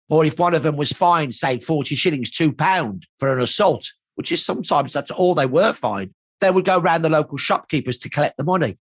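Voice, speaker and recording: A man's voice: a little high, fairly bright tone, husky, dry recording. A middle-aged or older man from Birmingham, England, speaking as a historian in a documentary interview, in emphatic, punchy, repeated phrases.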